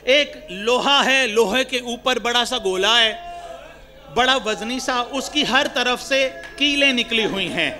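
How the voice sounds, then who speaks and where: A man's voice: very high voice, sharp tone. A man giving an impassioned mourning sermon, often turning to his listeners with rhetorical questions. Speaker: religious mourning orator.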